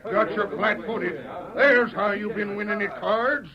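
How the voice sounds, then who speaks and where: A man's voice: sits high, unhurried, crowd noise. A man playing a stubborn, hot-tempered, honest frontier father in an old radio western, with folksy rural speech. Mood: furious. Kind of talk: 1940s radio western acting. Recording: muffled old radio recording.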